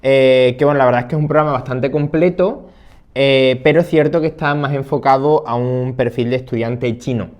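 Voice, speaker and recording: A man's voice: mid-range voice, steady tone, brisk, darkish tone. A young man in his twenties, a student of interpreting, chatting casually and hesitantly with many fillers and restarts. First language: Spanish. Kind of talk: casual conversational chat.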